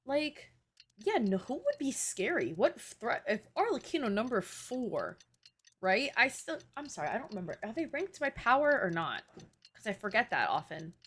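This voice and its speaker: Animated young woman streamer. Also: a little high, moderate pace, husky. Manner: slangy, reactive, rambling. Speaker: game streamer. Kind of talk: casual livestream commentary. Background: game music.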